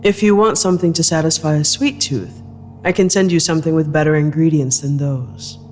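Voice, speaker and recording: A woman playing a cold, controlling, wealthy female executive in an audio drama, speaking in a deliberate, commanding, clipped way. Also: low voice, darkish tone, faint music behind, natural voice. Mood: coldly commanding. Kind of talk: dramatic audio fiction acting.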